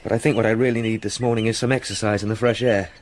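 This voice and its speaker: An actor playing a courteous, weary young gentleman with in a radio drama. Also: lowish voice, little pitch movement, very fast, slight background noise, lightly acted. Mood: courteous, brightening. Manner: courteous, formal, well-mannered.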